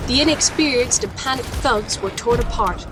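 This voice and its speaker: A woman's voice: fairly high pitch, moderate pace, close to an everyday voice. A woman playing a grave alchemist, delivering theatrical first-person storytelling in a fantasy audio drama. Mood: tense recounting. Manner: solemn, theatrical. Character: grave female alchemist of a sisterhood, recounting battles. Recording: loud music bed underneath.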